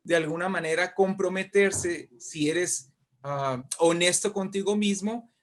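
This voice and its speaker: A man's voice: high-pitched, a little slow. A man speaking as a guest writer and poet in a conversational interview, telling literary anecdotes in an effusive, emphatic way and often repeating words.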